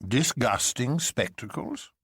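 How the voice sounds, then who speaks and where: Man performing a huge, smooth, mock-genial president. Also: mid-range voice, animated, slow, a bit bright, dry recording, studio quality, pitched above the performer's own voice, full character voice. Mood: bland amusement. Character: huge enigmatic president, smooth, teasing, grandly booming man.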